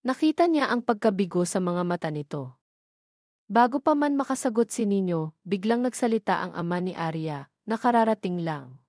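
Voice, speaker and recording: A woman's voice: medium pitch, lively intonation, moderate pace, a little mellow, no echo. Woman narrating a dramatic story, dry sound.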